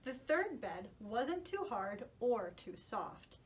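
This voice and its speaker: High-voiced, animated woman storyteller. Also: slow pace, a little hiss or hum. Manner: animated, reassuring. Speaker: storyteller and puppet show host. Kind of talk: children's story narration.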